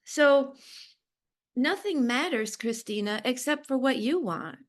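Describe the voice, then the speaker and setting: Podcast guest: woman, fairly high voice, unhurried pace, reflective but blunt and emphatic. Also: lively intonation. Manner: blunt, emphatic, repeats short phrases. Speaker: podcast guest, business owner. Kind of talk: casual reflective interview talk.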